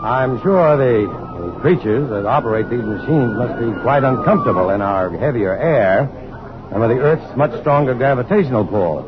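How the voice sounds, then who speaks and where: An actor playing a thoughtful astrophysicist in an old radio drama, speaking in a hesitant, scholarly way. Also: male voice, mid-range voice, expressive, moderate pace, lightly acted. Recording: dull vintage radio, clear noise behind.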